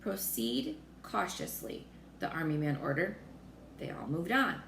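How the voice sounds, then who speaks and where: A woman reading a children's story aloud in an expressive narrating style. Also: low voice, moderate pace. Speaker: storybook reader. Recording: roomy echo with faint noise.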